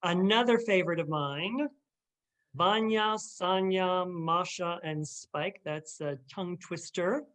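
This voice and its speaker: A woman's voice: fairly low pitch, takes it slowly. A woman hosting a casual chat, talking as an interviewer with a guest. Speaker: host, interviewer.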